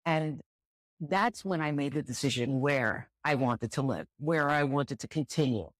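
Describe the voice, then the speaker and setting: A woman's voice: low voice, expressive, unhurried, dry recording, clean studio sound. A middle-aged woman speaking candidly as an interview guest, thoughtful and repeating words as she searches for them. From United States. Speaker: guest, director of student housing. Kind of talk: relaxed interview conversation.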